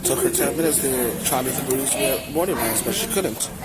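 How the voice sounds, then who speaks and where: A man's voice: medium pitch, expressive, fairly quick. A male gate worker giving a casual, unscripted explanation at an airport. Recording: very noisy airport audio.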